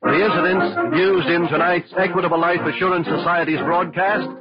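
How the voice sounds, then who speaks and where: A man's voice: sits high, fairly even intonation, normal speed. A man with an American accent reading scripted 1940s radio announcements in a polished, formal, persuasive way. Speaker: radio announcer and sponsor pitchman. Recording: dull, lo-fi old radio broadcast, music.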